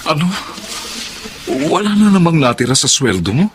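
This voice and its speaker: A man's voice: fairly high pitch, big pitch swings, moderate pace, music in the background. A man playing Romel, a firm, frustrated fiancé. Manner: firm, pressing.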